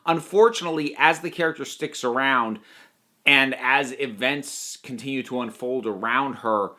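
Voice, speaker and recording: A man's voice: mid-range voice, normal speed, sharp tone. A man delivering a conversational, opinionated review monologue, thinking aloud with emphatic points. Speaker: reviewer of audio dramas.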